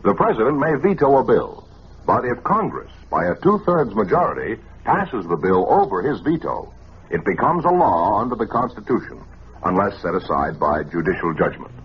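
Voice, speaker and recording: Old time radio announcer guy, lowish, formal, lively intonation, muffled recording. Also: normal speed, a little hiss or hum. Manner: formal, emphatic. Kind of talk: 1950s radio public service announcement.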